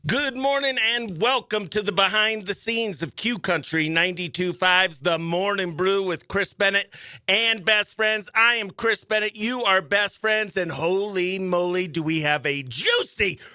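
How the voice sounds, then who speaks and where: A man hosting a morning radio show, chatting excitably and playfully through banter and giveaway patter, with music faintly behind him. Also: high-pitched, measured pace, clean tone, no echo, studio quality.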